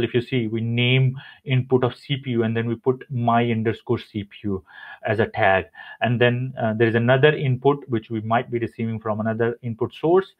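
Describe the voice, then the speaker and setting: Man, webinar presenter, hesitant, lots of fillers, mid-pitched, thin phone-line sound. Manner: hesitant, many fillers and restarts. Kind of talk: technical webinar explanation over slides. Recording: tinny phone-like audio.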